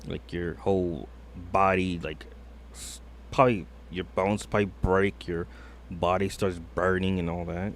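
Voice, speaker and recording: Man, very low voice, hesitant reaction streamer, thinks out loud, faint noise behind. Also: steady tone, measured pace. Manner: hesitant, thinking aloud with many fillers. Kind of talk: casual reaction commentary.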